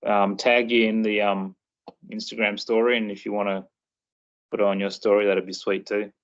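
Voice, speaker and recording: A man's voice: lowish voice, level tone, moderate pace. A man hosting a casual podcast chat, talking in a laid-back, rambling way. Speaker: podcast host. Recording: dull lo-fi recording.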